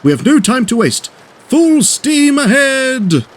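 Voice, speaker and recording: Man as an eager, high-voiced rescue boat. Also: expressive, a little fast, slight background noise. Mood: urgent. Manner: innocent, earnest, grandly calling out. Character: eager, kindly male rescue boat, polite and grand.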